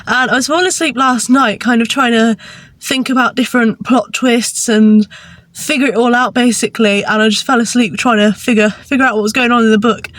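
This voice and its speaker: A woman's voice: fairly high pitch, a bit bright, no room sound. A woman with a congested, bunged-up nose, chatting enthusiastically in a casual book vlog. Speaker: book vlogger.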